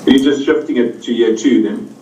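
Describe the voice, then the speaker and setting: A man's voice: mid-range voice, a little fast, warm tone, reverberant, recorded from a distance, slight background noise, rough recording. Hesitant man on a remote call. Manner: hesitant, many fillers and restarts. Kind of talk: meeting discussion over a call.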